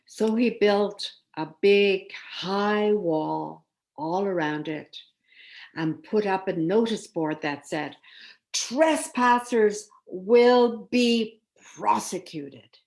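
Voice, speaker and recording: Woman reading a fairy tale to kids, takes her time, really expressive with it. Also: fairly low pitch, slow. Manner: expressive, with dramatic emphasis. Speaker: storyteller. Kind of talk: children's story read aloud.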